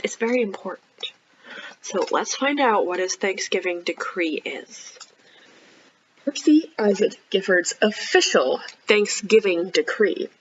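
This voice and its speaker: A woman children's librarian reading a picture book aloud expressively, with animated, encouraging chatty asides to young listeners. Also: fairly high pitch, lo-fi sound, slight background noise. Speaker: children's librarian hosting storytime.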